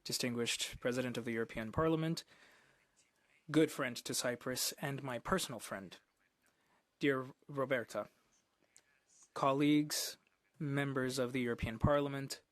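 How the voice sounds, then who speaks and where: A man's voice: medium pitch, unhurried, pauses often, dry recording. A man steadily interpreting a formal speech into English from a booth. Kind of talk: simultaneous interpretation.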